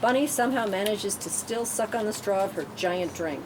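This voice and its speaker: A woman's voice: expressive, moderate pace. A woman reading scripted stage directions as narrator at a table read. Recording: noisy room, rumbling ambience.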